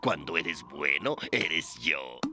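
A man voicing a scheming, greedy buzzard guide in a slapstick cartoon, gravelly, sly and exaggerated in his boasting. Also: rumbling low, sing-song, slow pace, noisy background, full character voice. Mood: sly, boastful. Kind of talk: Spanish-dubbed slapstick cartoon acting. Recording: cartoon music behind the voice.

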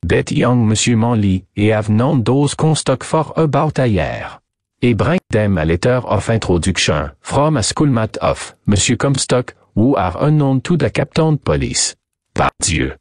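A man voicing a theatrical, excitable French detective with a French accent, gallant manners and broken English in a story reading. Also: medium pitch, a little slow, dry recording, clean studio sound. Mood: triumphant, intense.

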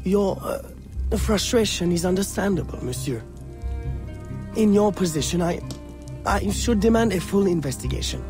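A polite, nervous young colonial clerk in a game cutscene. His high voice stammers deferentially over clear music. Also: lively intonation, moderate pace, pauses often. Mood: nervous, placating. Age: young adult. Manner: hesitant, stammering. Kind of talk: video game cutscene acting. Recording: music behind the voice.

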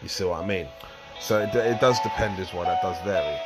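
A man hosting a gaming channel, talking through a mobile game tutorial in a casual, rambling way with a slightly croaky voice. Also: low voice, fairly quick, loud music behind the voice. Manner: rambling, explains step by step with fillers.

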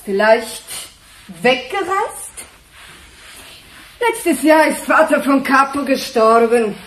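An actress playing a guarded foreign woman in a radio crime drama, halting and defiant in broken, accented speech. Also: high voice, animated, roomy sound. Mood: evasive.

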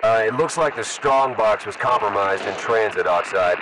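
Businesslike patrol soldier, noisy distant radio. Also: male voice, fairly low pitch, normal speed. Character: businesslike male patrol soldier in a shooter game. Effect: radio filter. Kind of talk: game voice acting, military radio chatter.

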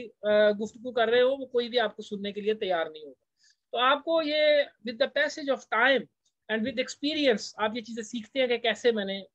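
A man giving an informal lecture as a teacher, explaining point by point and slowing down for English phrases. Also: high-pitched, fairly bright tone, dry recording. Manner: explanatory, slows down when reading English phrases. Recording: dull, lo-fi close recording.

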